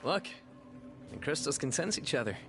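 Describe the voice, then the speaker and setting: A man's voice: fairly high pitch, animated, moderate pace, vocal fry, music in the background. A man playing a cocky, easygoing young warrior with a breezy, confident manner in a video game cutscene. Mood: casual, eager.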